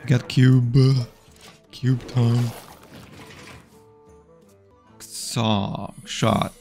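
A man's voice: mid-range voice, fairly even intonation, drawn-out words, gravelly, a little mellow, faint music behind. A man streaming a digital card game live, playfully chatting with his opponents and viewers.

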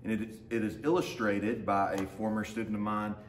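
A man in his thirties with a lowish voice reads a picture book aloud to children in a simple storytelling way. He is recorded from a few metres away in a big, echoey open room, with faint noise behind him. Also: level tone, brisk. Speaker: teacher reading aloud to students. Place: large open indoor lounge with hard floor. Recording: roomy echo, faint background noise.

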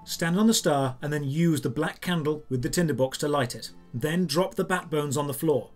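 Male guide narrator, slightly high register, scripted instructional delivery over background music. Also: moderate pace, darkish tone. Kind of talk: scripted step-by-step tutorial narration.

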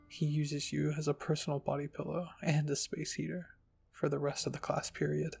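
A soft-spoken man reading fan fiction aloud as an ASMR narrator. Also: a little high, creaky, husky. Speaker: narrator and channel host.

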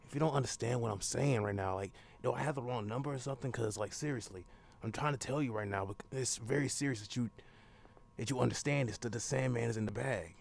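Gravelly young man, rambling and insistent. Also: medium pitch, fast. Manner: rambling, insistent, repeats himself. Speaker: prank caller. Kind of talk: improvised prank phone call.

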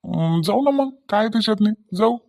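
A practical cartoon farmer, played by a man, talks in a high-pitched male voice. His Varhadi Marathi accent is rustic, and his manner is folksy and conversational, often repeating himself. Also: lively intonation, normal speed, vocal fry, dark tone. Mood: neighbourly. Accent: Varhadi (Vidarbha) Marathi. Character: friendly village farmer, practical family man. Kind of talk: cartoon village dialogue.

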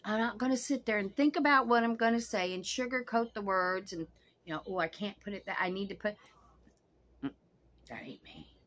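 A woman's voice: mid-range voice, lively intonation, brisk, a bit bright. A woman in her sixties vlogging from her couch, talking frankly and cussing freely, straight to the camera. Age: 60s. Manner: blunt, frank and profane, talks straight to camera. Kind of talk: casual vlog monologue. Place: small home room, seated on a couch.

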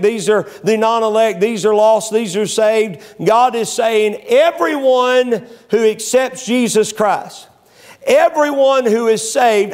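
A middle-aged man preaching a sermon from a pulpit in a small church, emphatic, repeating words to press a point and reading scripture in a measured cadence. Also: high-pitched. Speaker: pastor.

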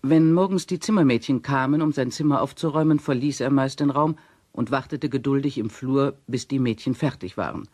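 Sachlicher Erzähler, trocken aufgenommen. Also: male voice, mid-range voice, a little mellow, no echo. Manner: measured, formal, matter-of-fact. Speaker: reader of a witness statement. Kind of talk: scripted radio play narration.

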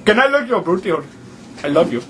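High-pitched pushy foreign prank caller. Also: male voice, big pitch swings, quick pace, noisy background. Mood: pleading, amorous. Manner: indignant, repetitive broken English without contractions. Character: pushy, absurd, crude foreign male phone caller. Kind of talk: improvised prank phone call.

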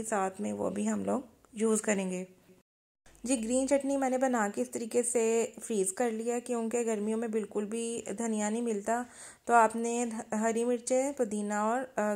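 A woman's voice: medium pitch, gravelly, a little mellow. A woman with a Pakistani Urdu accent, narrating a home recipe step by step as a cooking channel host. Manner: plain step-by-step instructions with kitchen tips.

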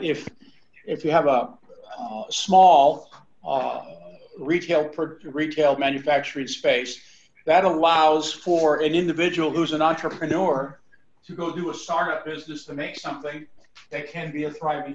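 A man with a higher voice, speaking into a video call from a roomy space. The audio is dull and has a faint hiss. His delivery is halting, with frequent uh and um. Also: a bit bright. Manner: halting, many fillers. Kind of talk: panel discussion on a video call.

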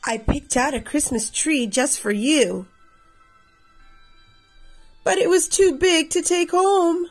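A woman in her thirties reading a picture book aloud expressively for children, with a slightly husky, creaky voice. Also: high-pitched, takes it slowly, long pauses, faint music behind, rough recording. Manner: addresses children directly. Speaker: read-aloud storyteller for children.

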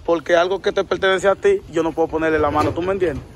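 A man's voice: fairly high pitch, fairly even intonation, fast, noisy background. A man interviewing on the street, addressing viewers directly and repeating himself, recorded outdoors.

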